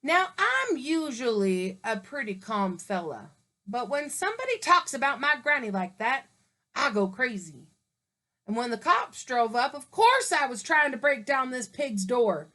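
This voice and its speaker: A woman's voice: a little high, very animated, unhurried, sharp tone, full character voice. Woman reading as an aggrieved sly wolf. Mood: indignant. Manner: indignant, mock-innocent storytelling. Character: wolf, male, grumbling sly self-pitying narrator.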